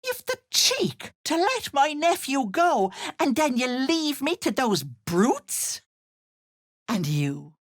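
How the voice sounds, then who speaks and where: An actress playing a sly old hag who poses as a sweet auntie in a video game, cooing and wheedling with menace underneath. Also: female voice, high voice, big pitch swings, takes it slowly, full character voice. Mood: aggrieved, menacing. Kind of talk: theatrical video game character acting.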